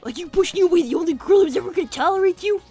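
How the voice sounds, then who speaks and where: Woman voicing a very high, bratty little boy. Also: racing, dark tone, faint music behind. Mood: taunting. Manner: blunt, demanding, melodramatic. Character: bratty, dramatic five-year-old cartoon hedgehog boy Milo. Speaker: livestream co-host in character. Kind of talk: improvised character comedy livestream.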